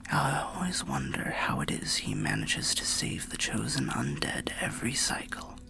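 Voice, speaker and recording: A man voicing a weary, kindly old undead warrior who rambles gently in a breathy, gravelly half-whisper, like a fantasy ASMR storyteller. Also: mid-range voice, huge pitch swings, exaggerated voice. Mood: musing, cautionary. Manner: slow, rambling, archaic, gently cautionary storyteller. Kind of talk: ASMR fantasy roleplay monologue.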